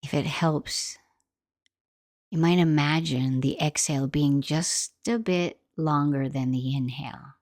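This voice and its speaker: A woman guiding a meditation in a gentle, soothing, unhurried manner, like soft instructional narration. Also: low-pitched, fairly even intonation, no room sound, clean studio sound. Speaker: meditation guide.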